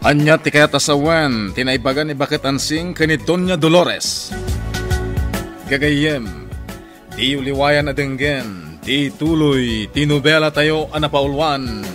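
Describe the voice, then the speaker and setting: A man's voice: on the high side, measured pace, over music. A man announcing a radio drama and its credits in a dramatic scripted style.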